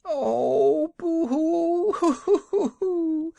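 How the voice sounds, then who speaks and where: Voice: extremely high pitch, very slow, vocal fry, mellow, no echo, voice pushed up, full character voice. A reader voicing a panicky village woman who wails and sobs over tiny mishaps. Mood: sobbing. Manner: wailing, sobbing, frantic.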